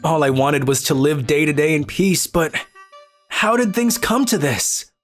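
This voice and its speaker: Girl doing an exasperated teen otaku boy for a manga vid, low n dry, bit of music behind. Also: expressive, unhurried. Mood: dry, weary. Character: teenage boy otaku, cool-headed, easily exasperated. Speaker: narrator and lead character of a manga video. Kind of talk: scripted first-person anime narration and dialogue. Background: background music.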